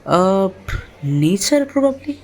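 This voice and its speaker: A performer playing a shy, quiet young male college student in an audio story, hesitant and mild. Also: medium pitch, big pitch swings, very slow, some chatter behind, heavily acted.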